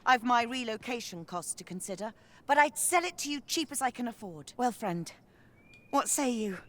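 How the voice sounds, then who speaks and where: A woman's voice: on the high side, animated, moderate pace, natural voice. An actress playing a friendly, chatty townswoman in a fantasy game, speaking in a familiar, archaic way. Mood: cheerful, chatty.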